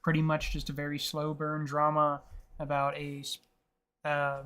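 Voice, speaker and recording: A man's voice: on the high side, completely flat delivery, moderate pace, pushed and bright, smooth. Rambling, profane man on a podcast. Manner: profane, rambling, laughs often. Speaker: podcast co-host. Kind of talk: casual film chat.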